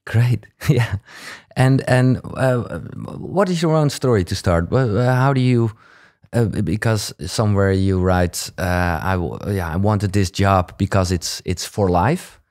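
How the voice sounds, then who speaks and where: A man's voice: fairly low pitch, unhurried, a little mellow. A Dutch man with an accent hosting an interview in English and Dutch, hesitant with many fillers and restarts. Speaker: podcast host. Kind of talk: casual interview.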